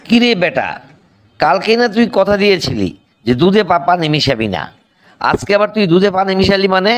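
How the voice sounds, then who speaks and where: A man's voice: high-pitched, sing-song, a little fast. A man voicing an angry boss who threatens and scolds a milkman in a comedy cartoon.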